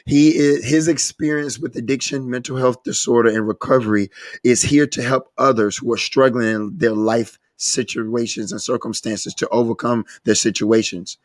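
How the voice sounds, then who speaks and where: A man hosting a recovery podcast, earnest, his voice a little raspy from a cold, often restarting and repeating words. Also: mid-range voice, fairly even intonation, moderate pace, no echo. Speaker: podcast host. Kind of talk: casual podcast interview.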